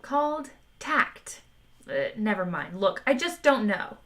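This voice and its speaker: A brash, excitable male adventurer who boasts loudly in a raised, pushy voice. A woman reading aloud puts him on. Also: medium pitch, slow pace. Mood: brash excited.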